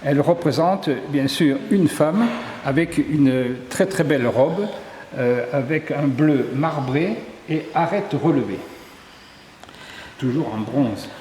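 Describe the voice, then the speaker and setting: Sculptor from southern France, somewhat high voice, walking through his exhibit; echoey hall, faint background noise. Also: slow, warm tone. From southern Ardèche, France. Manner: descriptive, lists details with hesitations. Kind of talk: interview, explaining his artworks. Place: tourist office exhibition hall.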